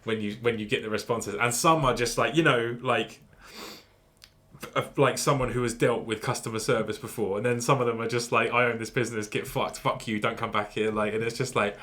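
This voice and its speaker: A man's voice: medium pitch, fairly even intonation, fairly bright tone. A man co-hosting a podcast from a home kitchen, swearing freely and laughing easily in casual chat. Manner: sweary, quick laughs, calls people mate. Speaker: podcast co-host.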